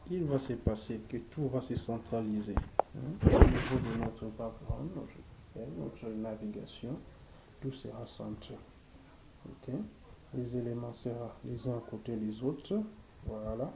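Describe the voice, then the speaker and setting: Slow gravelly man, noisy coding tutorial. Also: mid-range voice. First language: French. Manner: slow, thinking aloud while typing, frequent "ok", addresses viewers. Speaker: web development instructor. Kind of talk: screen-recorded coding tutorial. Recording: loud background noise.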